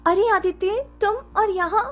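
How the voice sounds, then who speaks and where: Woman playing a high-voiced, gentle young wife. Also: steady tone, unhurried, faint music behind. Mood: startled, pleading. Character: gentle, kind-hearted young village wife. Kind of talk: animated moral story dialogue.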